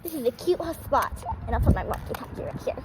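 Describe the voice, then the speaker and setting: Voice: high voice, animated, fast talker. A child hosting an improvised doll pretend-play video, speaking as the toys while playing outdoors. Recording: loud noise with clicks and rustling.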